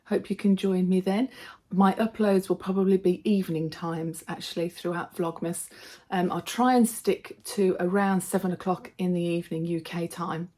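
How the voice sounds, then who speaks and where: A woman vlogger with a slightly hoarse voice from a cold, chatting casually and cheerfully to her viewers. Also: fairly low pitch, fairly even intonation, normal speed, dark tone. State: slightly hoarse, losing her voice from a throat infection. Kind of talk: casual vlog chat to viewers.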